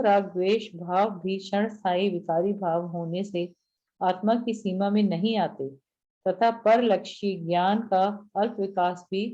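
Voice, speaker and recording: A woman reading a spiritual text aloud to an online class in a slow, measured way and then explaining it. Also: mid-range voice, mellow. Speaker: class reader. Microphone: video call. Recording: dull lo-fi online call audio.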